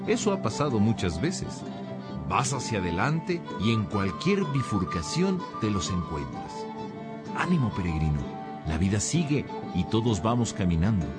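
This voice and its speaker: A man's voice: lowish voice, sing-song, normal speed, over loud music. A man acting in a radio drama as an encouraging guide urging a pilgrim onward.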